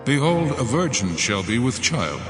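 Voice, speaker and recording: A man playing a grave prophet proclaiming ancient prophecy in a measured dramatized scripture reading. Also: mid-range voice, big pitch swings, close to an everyday voice, rough recording. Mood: solemn. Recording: loud music over the voice. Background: music.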